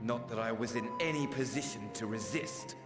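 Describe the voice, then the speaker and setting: An actor playing a spiteful, haughty, vengeful dead villain in a video game, with a sneering, formal, theatrical delivery. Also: male voice, mid-range voice, moderate pace, close to an everyday voice. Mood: sneering, gloating. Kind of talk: dramatic video game dialogue. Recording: echoey hall reverb with music behind.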